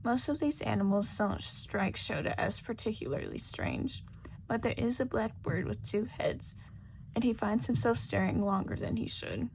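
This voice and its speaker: A woman's voice: fairly high pitch, animated, moderate pace, creaky, slight background noise, rough recording. A woman reading scripted fiction as a story narrator. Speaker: podfic narrator.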